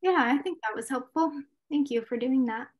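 A woman's voice: high-pitched, animated, normal speed. A woman moderating a live online event, thanking the teacher and reading out viewer questions. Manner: friendly, reads out viewer questions.

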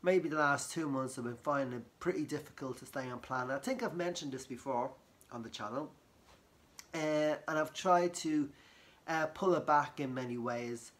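A man talks casually to camera from his sofa. His voice is croaky from a cold, and he rambles, circling back with fillers and restarts. The sound is close and homely, as if recorded in a living room. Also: mid-range voice, moderate pace, fairly bright tone. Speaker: vlogger.

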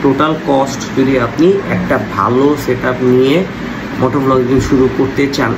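A man's voice: medium pitch, flat delivery, normal speed. A young Bangladeshi man with a cold giving a casual explainer to camera, dropping English words and phrases into his speech. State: has a cold. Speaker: motovlogger presenting gear costs. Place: small room indoors at a table. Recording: clearly noisy room ambience.

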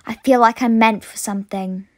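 A woman voicing air as a living element, a proud, gentle, free spirit, in a poetic dramatic monologue of short, deliberate declarations. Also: fairly high pitch, steady tone, close to an everyday voice. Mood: wistful.

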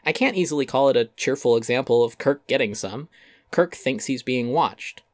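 A man's voice: medium pitch, normal speed, no room sound, studio quality. A man giving a dramatic reading of a critical essay, wry and articulate with theatrical emphasis. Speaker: essay narrator.

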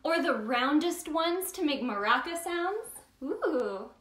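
A woman playing a cheerful Tooth Fairy who hosts children's story time, talking straight to young listeners in an animated, sing-song way in a roomy, echoing space. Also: high-pitched, slow pace, exaggerated voice. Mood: playful, wondering.

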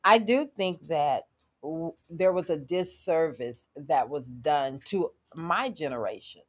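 A woman's voice: low-pitched, animated, slow pace, husky. A middle-aged woman hosting a casual panel talk in a radio studio, speaking emphatically with preacherly asides. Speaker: talk show host.

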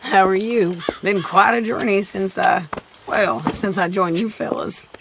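Creaky-voiced tough outlaw woman. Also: mid-range voice, measured pace, noisy background. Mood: wry, eager. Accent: American. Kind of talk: cinematic video game acting.

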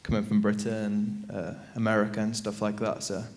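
A man's voice: fairly low pitch, little pitch movement, moderate pace, darkish tone. A teenage male student from Iraq, telling a story on a conference panel into a handheld mic. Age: teens. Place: large conference stage.

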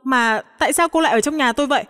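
A woman's voice: high-pitched, rapid-fire, bright, forceful tone, voice pushed up. A woman voicing a worried middle-aged son and father, earnest and questioning. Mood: puzzled. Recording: long hall-like reverb on the voice.

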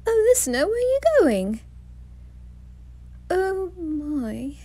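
A woman puts on a camp, theatrical male grim reaper in an ASMR drama. The voice sits high and swoops widely, gushing and fussing in a flirty sing-song. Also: sing-song, takes it slowly, warm tone, full character voice. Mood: gleeful fussing. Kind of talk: ASMR roleplay acting.

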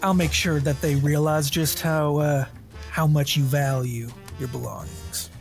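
Slow, laid-back mercenary tough acted out. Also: mid-range voice, fairly even intonation, vocal fry, faint music behind. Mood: quietly menacing. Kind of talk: tabletop roleplay in character.

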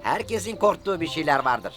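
Encouraging preschool cartoon advisor: bright, very animated delivery, kindly and explanatory. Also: male voice, a little high, brisk, soft background music. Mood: reassuring. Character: kindly, reassuring cartoon helper giving gentle advice.

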